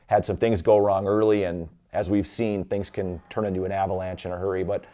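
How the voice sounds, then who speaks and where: A man's voice: sits low, level tone, brisk, mellow. A middle-aged man hosting a studio sports preview, discussing teams in a conversational analyst's style. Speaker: sports show host.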